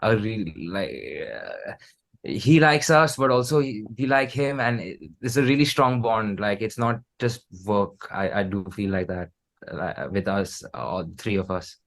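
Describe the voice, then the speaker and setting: A man with an Indian accent chatting casually as an interview guest, in an informal, halting way. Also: fairly low pitch. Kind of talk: casual chat.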